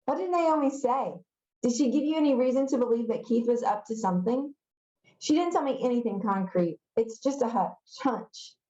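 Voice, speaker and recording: A woman's voice: fairly high pitch, animated, normal speed, mellow, roomy sound, highs cut off, close to an everyday voice. A woman reading the part of a pushy, sneering middle-school newspaper editor boy in a storybook, insistent and sarcastic.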